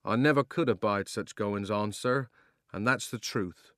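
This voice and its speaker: A man's voice: fairly low pitch, measured pace, a bit bright, no echo, studio quality. A man reading a storybook, voicing a humble, earnest male London cabman with a Cockney accent, deferential and plain-spoken.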